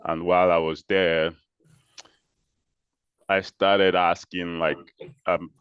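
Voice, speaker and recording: A man from Nigeria, a guest in an interview, explaining his work in a measured way with pauses and restarts. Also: low voice, level tone, smooth, dry recording, lo-fi sound. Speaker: interview guest, social entrepreneur. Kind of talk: conversational interview answers.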